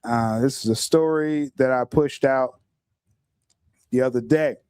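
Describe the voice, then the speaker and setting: A middle-aged man hosting a casual podcast livestream, talking in an animated way, stumbling over repeated words and often laughing. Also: medium pitch, a little slow, clean tone, no echo, studio quality. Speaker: podcast host.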